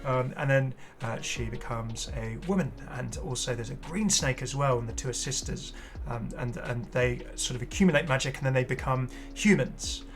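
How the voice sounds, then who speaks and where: A man's voice: mid-range voice, normal speed, few pauses, faint music behind. A man giving casual, off-the-cuff video commentary as a gaming host, rambling and hesitant with apologetic asides.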